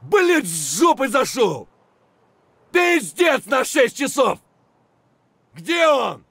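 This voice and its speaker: An aggressive, coarse soldier from a military shooter game. His callouts are short and punchy, with big swings in pitch, and the recording is dry and close. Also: sing-song, extremely slow, a bit bright, breathy edge, dry recording, heavily acted. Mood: urgent, aggressive. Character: aggressive, foul-mouthed Russian mercenary soldier in combat. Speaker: video game voice actor. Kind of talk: military shooter combat barks.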